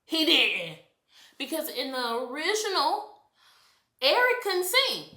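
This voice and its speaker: An American woman ranting in a casual, animated and exasperated way as she reviews a movie for her vlog. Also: high voice, slow, reverberant. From United States. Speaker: vlogger.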